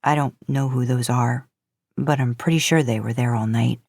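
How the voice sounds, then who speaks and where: A woman voicing a laid-back, curious young male college student in a story, quiet and understated. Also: extremely low pitch, fairly even intonation, normal speed, creaky, no echo, studio quality, pitched below the performer's own voice, full character voice. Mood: easygoing.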